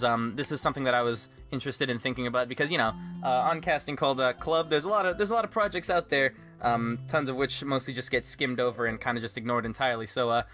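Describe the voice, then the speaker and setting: A man's voice: medium pitch, fairly bright tone. A man hosting a casual group chat, sarcastic and swearing freely, with music playing behind him. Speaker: host of a podcast about a fan voice project. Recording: background music. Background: guitar music.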